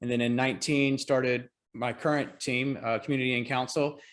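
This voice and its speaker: Relaxed Southern man in his forties. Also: mid-range voice, moderate pace. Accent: Southern American. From Georgia, US. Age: 40s. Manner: relaxed, chatty, self-deprecating humor. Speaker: real estate agent and team leader. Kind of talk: casual interview on a call. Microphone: video call.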